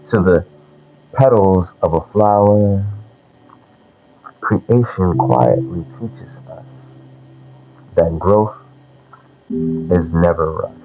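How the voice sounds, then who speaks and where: Male narrator for guided meditation, extremely slow and soothing, short phrases with long gaps, soft music bed behind. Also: deep voice. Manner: measured, soothing delivery with long pauses between short phrases. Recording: dull lo-fi sound, music underneath.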